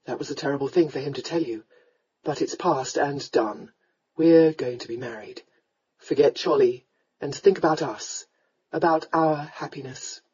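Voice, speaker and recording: A woman plays a young aristocratic rake in a romance audiobook, pitched low and mellow. He is blunt and commanding, with a hot temper and a tender side. The recording is a little dull and muffled. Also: animated, moderate pace, slightly rough. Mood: soft, insistent.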